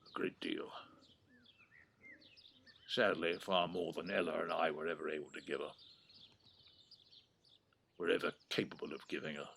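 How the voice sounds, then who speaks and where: Gravelly old Englishman, very low. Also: flat delivery, normal speed, long pauses, fairly bright tone, a little hiss or hum, exaggerated voice. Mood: reflective, wistful. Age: 70s or older. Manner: wry, rambling, reflective. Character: dotty old grandfather, retired lawyer, wry, wistful, affectionate. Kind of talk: naturalistic audio drama acting. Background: birdsong.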